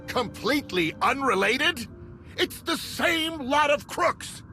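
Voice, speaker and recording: A man's voice: very high-pitched, expressive, takes it slowly, sharp tone, heavily acted. An actor playing an exasperated, contemptuous male Republic official. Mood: outraged. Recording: game cutscene with music behind.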